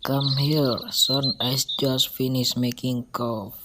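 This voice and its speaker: A young man with an Indonesian accent acting a solemn village grandfather who knows the spirits, speaking slowly and gravely in an amateur radio drama. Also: medium pitch, level tone, lightly acted. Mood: grave, fatherly. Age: young adult. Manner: slow, grave, deliberate. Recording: noisy, with chirping birds behind. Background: birdsong and noise.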